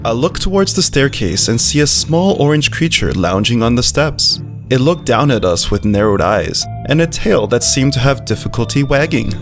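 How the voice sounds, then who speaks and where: Someone voicing a male pit bull, eager, chirpy, naive, sweet, highly animated pitch, visual novel storytelling, music behind. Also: moderate pace. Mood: gentle narrating. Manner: eager, chirpy, childlike wonder. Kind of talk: character dialogue and first-person narration in a visual novel.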